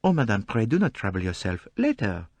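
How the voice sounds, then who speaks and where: A man's voice: fairly low pitch, huge pitch swings, fairly quick, darkish tone, no echo, dull audio, pitched above the performer's own voice, exaggerated voice. A man voicing a small, polite, fussy male detective who speaks courtly, with French phrases.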